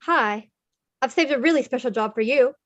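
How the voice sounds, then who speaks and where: A woman's voice: sits high, normal speed, muffled recording, lightly acted. A woman playing an enthusiastic, encouraging skeleton town leader in a live script read. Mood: excited. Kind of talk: live amateur table read of a film script.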